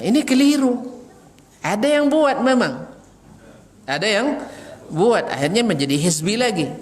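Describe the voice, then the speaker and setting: Animated male preacher in an echoing hall. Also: medium pitch. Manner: animated, rhetorical questions, chuckles at his own examples. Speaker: Islamic preacher and religious teacher. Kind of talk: religious lecture to a congregation, with humorous asides. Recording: reverberant hall, some background noise.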